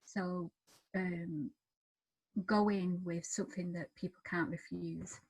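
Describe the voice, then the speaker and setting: A woman giving encouraging, chatty panel advice as a television writer, often softening points with filler phrases. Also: lowish voice, fairly even intonation, slow pace, slightly rough, poor audio. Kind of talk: informal panel advice at an online event.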